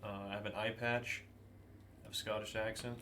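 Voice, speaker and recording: A low-voiced man describing his character in casual tabletop banter, halting and full of fillers. Faint hiss sits under his voice. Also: little pitch movement, sharp tone. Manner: hesitant, with many fillers. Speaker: player.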